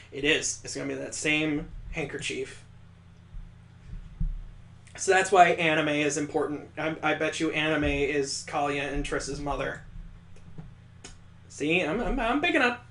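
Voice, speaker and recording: A man's voice: a little high, a bit bright, echoey room. A man reading a visual novel aloud, mixing dramatic narration with sarcastic comic asides. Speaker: let's play host.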